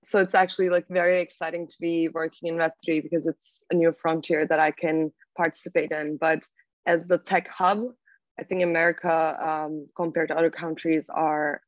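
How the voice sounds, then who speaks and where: A woman's voice: low voice, little pitch movement, normal speed, dry recording. Turkish-accented young woman on a dull call. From Turkey. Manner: thoughtful, with fillers and restarts. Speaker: podcast guest. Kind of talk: casual interview talk. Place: small room at home. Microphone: laptop mic on a video call. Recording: dull, dry video-call audio.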